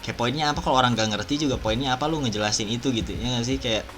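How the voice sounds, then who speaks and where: A man's voice: medium pitch, very fast, a bit bright, soft background music. A young man co-hosting a podcast in casual chat, switching between Indonesian and English mid-sentence. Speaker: podcast co-host.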